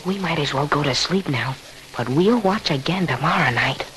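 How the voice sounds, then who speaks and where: A woman's voice: low-pitched, lively intonation, normal speed, a bit bright. A woman playing a bold, stubborn young boy, an elder brother in a 1940s radio horror drama. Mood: wary. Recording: slightly noisy old radio recording.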